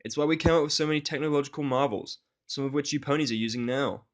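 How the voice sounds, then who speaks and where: A man voicing a sullen, sarcastic, foul-mouthed human outcast in an audio drama, with a gruff, dry, grudging manner. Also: fairly high pitch, fast, pitched above the performer's own voice, natural voice. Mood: matter-of-fact. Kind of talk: audio drama of fan fiction.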